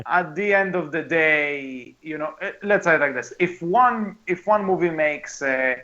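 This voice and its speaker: A man co-hosting a casual movie podcast, talking emphatically and haltingly, often restarting and repeating his phrases. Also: a little high, lively intonation, sharp tone, dull audio. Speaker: podcast co-host. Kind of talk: casual movie discussion with friends.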